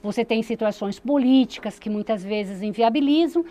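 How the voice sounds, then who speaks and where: A woman's voice: fairly high pitch, moderate pace, warm tone. A woman, a city secretary explaining her views in an earnest studio interview, with many fillers and restarts. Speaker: municipal secretary, interview guest.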